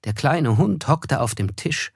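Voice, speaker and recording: A man's voice: mid-range voice, animated, measured pace, creaky, husky, no echo, studio quality, exaggerated voice. An actor playing a reflective, observant young man, narrating a radio drama in the first person with a wry, literary manner.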